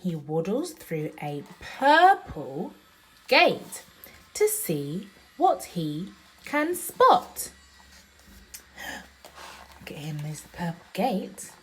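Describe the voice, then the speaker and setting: Husky woman reading slowly, sing-song, to a child. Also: fairly low pitch, huge pitch swings, rough recording. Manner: sing-song, slow emphatic reading of the story; child-directed, asking questions and pointing out pictures. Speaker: mother reading aloud to her young child. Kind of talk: bedtime picture-book read-aloud with chatty asides.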